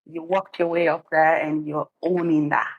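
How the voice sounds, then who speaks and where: A woman's voice: low voice, level tone, normal speed, dry recording, muffled recording. A woman from Sierra Leone hosting a podcast, chatting casually and expressively with her guest, often laughing. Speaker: podcast host. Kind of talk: casual interview chat. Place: studio in Edmonton, Canada.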